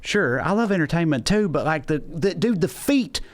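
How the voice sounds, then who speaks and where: A man's voice: on the high side, lively intonation, moderate pace, a little hiss or hum. A man co-hosting a casual comedic podcast, arguing his points emphatically and swearing freely. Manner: profane, emphatic, argumentative. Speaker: podcast co-host.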